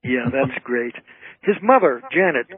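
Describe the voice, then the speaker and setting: A man's voice: medium pitch, expressive, unhurried, husky. A man giving an explanatory, anecdote-telling interview as a guest entertainer. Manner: storytelling, explanatory. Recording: narrowband phone-like radio line.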